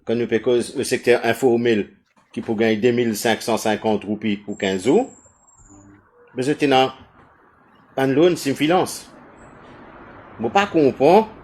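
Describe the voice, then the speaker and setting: A man's voice: medium pitch, measured pace, long pauses, a little mellow. Earnest Mauritian man on an online panel. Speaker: panel speaker. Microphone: video call.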